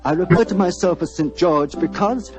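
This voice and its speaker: An actor playing a small, grandiose ghost hunter, florid and ominous, in a 1940s radio drama. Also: male voice, high-pitched, normal speed, over music, lightly acted. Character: small, colourful ghost hunter, grandiose self-styled crusader. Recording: dull old radio recording.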